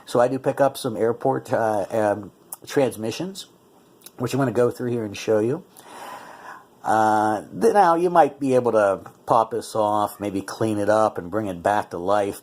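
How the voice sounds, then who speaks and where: A man with a mid-pitched voice talks through a product demonstration in a casual, conversational way. He explains features step by step as a reviewer would, stopping to correct himself along the way. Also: darkish tone. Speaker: radio reviewer.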